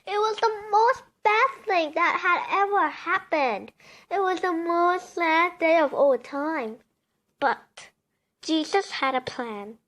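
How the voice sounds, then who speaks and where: A female reader with a very high voice reads a children's storybook aloud. She takes it slowly, phrase by phrase, as bedtime story narration. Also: lively intonation, a bit bright.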